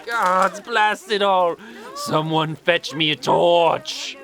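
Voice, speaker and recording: An actor playing a pompous, cruel usurping lord in a fantasy audio drama, stammering when flustered. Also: male voice, high voice, slow pace, fairly bright tone, full character voice. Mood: furious.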